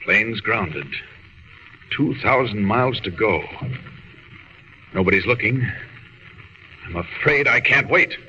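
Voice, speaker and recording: Bright-voiced, polite young reporter, old radio. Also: male voice, lowish voice, lively intonation, slow pace, pauses often, exaggerated voice. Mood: musing. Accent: American. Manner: polite, deferential, assured. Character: meek, polite young man seeking a reporter's job. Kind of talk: 1940s radio drama acting. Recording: muffled, noisy old radio recording.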